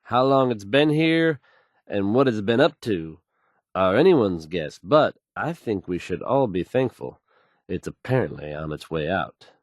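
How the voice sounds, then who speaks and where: An actor playing a confident, smooth male radio news host in a video game, folksy and dramatic in his announcing. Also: on the low side, animated, unhurried, dry recording, clean studio sound. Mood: composed, wry.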